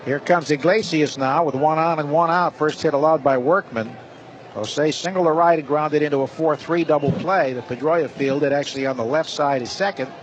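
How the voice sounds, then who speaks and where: A man's voice: on the high side, normal speed, low-quality recording. A man doing live radio play-by-play of a baseball game as the announcer. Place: stadium broadcast booth. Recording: steady stadium noise behind voice. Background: loud ballpark ambience.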